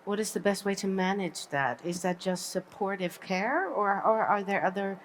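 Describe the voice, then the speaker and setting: A woman's voice: medium pitch, normal speed, a little hiss or hum. A woman from the Netherlands interviewing a guest on a conference studio set, affirming often and searching for her phrasing.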